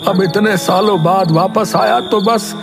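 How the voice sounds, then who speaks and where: A man voicing a grieving old village father, complaining slowly and sorrowfully in a cartoon. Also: sits high, steady tone, vocal fry, long reverb tail. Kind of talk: cartoon voice acting. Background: music.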